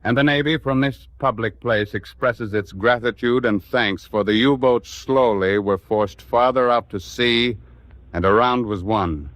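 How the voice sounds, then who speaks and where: A man's voice: medium pitch, fairly bright tone, dry recording, close to an everyday voice. A man with an American accent narrating in a grave, oratorical 1940s radio drama style. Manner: grave, oratorical, measured phrasing.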